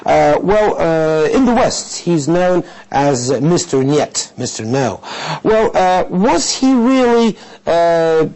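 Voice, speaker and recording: A man's voice: fairly high pitch, very animated, takes it slowly, hardly any pauses, darkish tone, rough recording. A man interviewing a guest in a conversational, inquisitive way. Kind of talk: broadcast interview.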